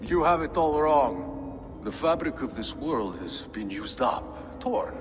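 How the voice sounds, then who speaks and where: A theatrical male crime boss from a video game, grand and poetic in delivery. His pitch swings widely as he menaces, and music plays behind him. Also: expressive, slow pace. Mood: grandiose. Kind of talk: dramatic video game acting.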